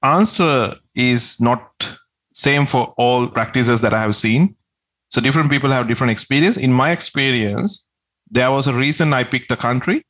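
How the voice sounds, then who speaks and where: A man's voice: medium pitch, normal speed, a bit bright. A man from Sri Lanka, a tax agent answering interview questions in an earnest, step-by-step way.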